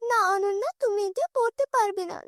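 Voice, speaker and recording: Woman as an earnest, very high-voiced gentle girl. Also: moderate pace, no room sound, clean studio sound. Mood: earnest protest. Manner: pleading, earnest, polite. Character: kind, forgiving wronged girl, gentle stepdaughter.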